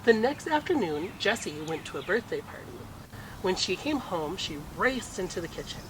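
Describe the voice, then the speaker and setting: An expressive picture book reader with a mid-pitched voice. The narration is animated and theatrical in its swings, over clearly audible noise. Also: normal speed. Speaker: storytime reader.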